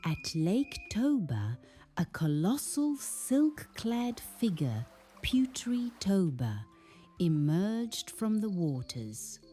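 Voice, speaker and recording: A woman narrating a scripted children's bedtime story in a soothing, expressive storybook manner. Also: mid-range voice, slow, faint music behind.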